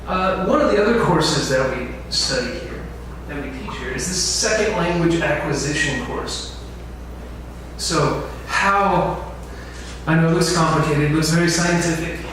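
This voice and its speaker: A man's voice: on the high side, a little slow, a bit bright. Man lecturing in a very echoey room. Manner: asks the room questions. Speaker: instructor. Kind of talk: info session lecture. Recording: very echoey room, distant mic, faint noise.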